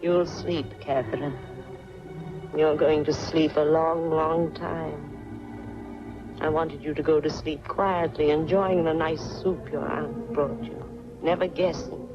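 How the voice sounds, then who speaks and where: An actress playing a mousy older aunt who is a coldly menacing murderess, speaking deliberately in 1940s film style. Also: female voice, sits low, fairly even intonation, music in the background. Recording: dull 1940s film soundtrack from VHS. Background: prominent film score.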